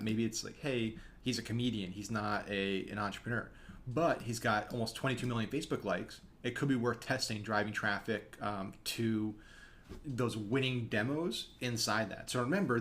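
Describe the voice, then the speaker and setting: A man's voice: fairly low pitch, a bit bright, a little hiss or hum. Casual young man teaching online. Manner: quick, conversational, frequent "um" fillers. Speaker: course instructor. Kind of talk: screen-share course lesson. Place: small room, indoors.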